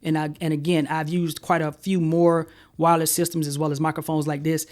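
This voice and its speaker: A man in his thirties reviewing tech gear to camera from a home studio, talking in a relaxed, conversational way with lots of fillers. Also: brisk. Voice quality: self-described high-pitched voice. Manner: relaxed, conversational, many "uh" and "you know" fillers. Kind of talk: casual product review to camera. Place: home studio room with shelves and purple lights. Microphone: desk broadcast mic, later clip-on wireless mic.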